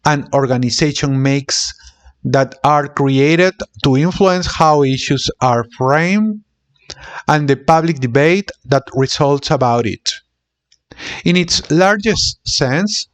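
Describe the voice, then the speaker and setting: A man reading academic text aloud as an amateur narrator, with deliberate, halting phrasing. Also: on the high side.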